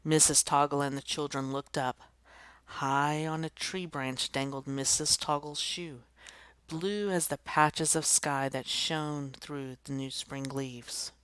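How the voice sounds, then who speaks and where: A woman's voice: sits low, fairly even intonation, takes it slowly, fairly bright tone, smooth, no room sound. Woman reading a children's picture book aloud. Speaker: storybook reader.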